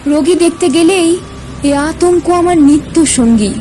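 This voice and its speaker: A woman voicing a troubled male doctor in a horror audio story, speaking gravely and reluctantly with dramatic dread. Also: very high voice, a little slow, warm tone, a little hiss or hum. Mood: anguished confession. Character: troubled, haunted middle-aged male doctor confiding dread.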